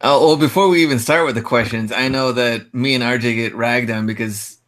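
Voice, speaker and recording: A man co-hosting a casual podcast, relaxed and joking as he leads into his questions. His voice sits at a middle pitch. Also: brisk. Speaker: podcast co-host. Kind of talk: casual interview talk.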